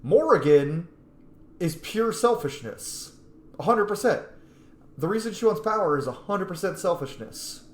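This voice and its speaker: A man's voice: high voice, animated, a little slow. A man playing a video game and commenting on it casually, chatty and opinionated. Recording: echoey room, faint background noise.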